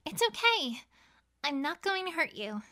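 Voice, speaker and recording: A man puts on a young female unicorn pony for an audiobook, pitched very high with lively swings. The character is gentle and nervous, speaking in soft, hesitant phrases. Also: unhurried, exaggerated voice. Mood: gentle, coaxing.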